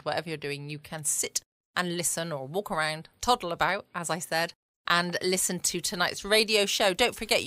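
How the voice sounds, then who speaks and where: A woman's voice: fairly low pitch, sharp tone, dry recording. A woman with a southern English accent hosting a casual bedtime podcast in a chatty, rambling, playful way. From England. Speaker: podcast host. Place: small home studio.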